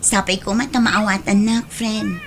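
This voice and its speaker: A woman's voice: medium pitch, fairly even intonation, moderate pace, close to an everyday voice. A Filipino woman playing a dutiful, caring young friend in a radio drama. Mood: apologetic, earnest. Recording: noticeable background noise.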